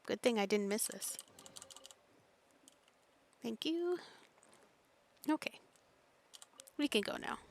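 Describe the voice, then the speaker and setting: A woman's voice: fairly high pitch, animated, takes it slowly, long pauses. A woman game streamer giving casual solo commentary, muttering reactions to herself as she plays.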